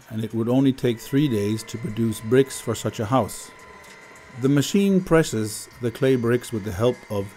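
A man reading a documentary voice-over in a calm, measured way. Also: mid-range voice. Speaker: voice-over interpreter.

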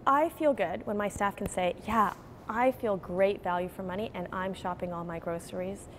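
A woman's voice: medium pitch, normal speed, fairly bright tone, slight background noise, rough recording. A woman in her forties, a grocery chain executive, speaking earnestly and animatedly in a TV news interview inside a store.

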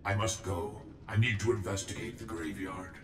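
Man playing a low, distant, stoic cyborg warrior. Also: little pitch movement, noisy background, close to an everyday voice. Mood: solemn. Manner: formal, measured, terse. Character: stoic cyborg ninja warrior, male, solemn and resolute. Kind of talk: video game cutscene acting.

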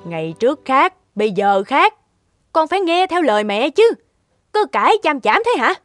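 A woman's voice: high-pitched, sing-song, normal speed, dry recording, clean studio sound, soft background music. Scolding, scheming cartoon stepmother. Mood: exasperated. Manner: sharp, scolding, domineering. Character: scheming, scolding middle-aged stepmother, greedy and domineering. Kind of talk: animated folk tale acting.

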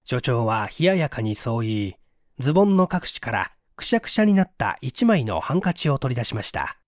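Male audiobook narrator for mystery fiction, animated intonation, dry studio-style sound. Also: mid-range voice, fairly quick, no room sound. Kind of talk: scripted literary narration of a mystery story.

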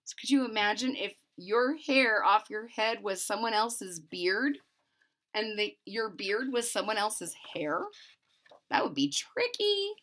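A woman's voice: mid-range voice, very animated, a little slow, a bit bright. A woman in her forties reading a children's picture book aloud with emphatic, sing-song delivery and casual asides. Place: small furnished room at home.

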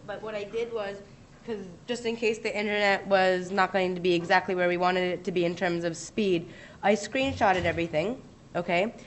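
An American woman in her thirties leading a live tech tutorial, chatty with quick asides and self-corrections. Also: mid-range voice. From United States. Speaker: tech workshop presenter. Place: large conference room. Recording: echoey conference room with background noise.

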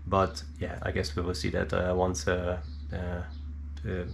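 A man giving an unscripted hands-on technical explanation in a hesitant, halting way, thinking aloud with many pauses. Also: deep voice, monotone, gravelly, a little hiss or hum. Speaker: hobbyist presenter showing his equipment. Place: indoor room at a cluttered worktable.

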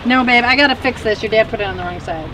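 A woman's voice: on the high side, quick pace. A woman chatting casually outdoors on a boat, one of the charter guests. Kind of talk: casual chat. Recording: noisy outdoor boat background.

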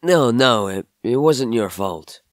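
A man's voice: mid-range voice, animated, no echo. An actor playing a downcast, bewildered young man held captive in an audio drama, speaking hesitantly. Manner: hesitant, halting.

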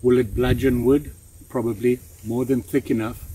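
A man in his sixties giving a relaxed, chatty hands-on knife review outdoors in the woods, with plenty of fillers and restarts. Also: mid-range voice, moderate pace, background noise. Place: outdoors in woods, kneeling in the undergrowth.

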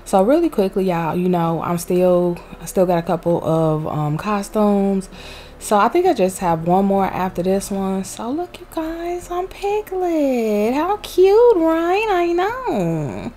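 A woman's voice: medium pitch, expressive, takes it slowly, warm tone, a little hiss or hum. A young woman, chatting peppily in a casual vlog voice-over recorded at home on a desk microphone. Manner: chatty, peppy, uses Southern US slang. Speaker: vlogger. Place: home room with loud heater.

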